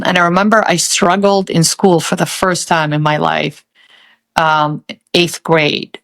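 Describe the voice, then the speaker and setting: A woman who grew up in Saudi Arabia, telling a personal story in a thoughtful, halting way with many pauses. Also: lowish voice, fairly bright tone. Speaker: podcast host.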